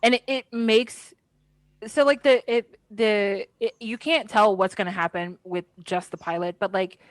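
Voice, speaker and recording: A woman in her thirties co-hosting a podcast in casual chat, blunt and animated, swearing when something annoys her. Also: mid-range voice, unhurried, no room sound. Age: 30s. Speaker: podcast co-host.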